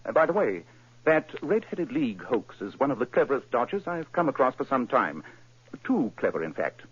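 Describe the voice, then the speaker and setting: A crisp, commanding detective in a vintage radio play. His husky voice rises and falls in wide lively swings. The broadcast sounds muffled and dull. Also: mid-range voice. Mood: explaining. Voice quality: crisp, authoritative, brisk. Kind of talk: 1940s radio acting.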